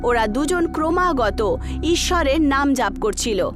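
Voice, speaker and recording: A woman's voice: sits high, expressive, slow. A woman giving scripted narration of a horror story. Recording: background music under the voice.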